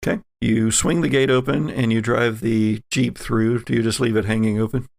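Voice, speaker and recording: A man runs a tabletop horror game as game master, his voice creaky and closely recorded with a dry sound. He sets out each scene in a halting, deliberate manner. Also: medium pitch, fairly even intonation, darkish tone, no echo.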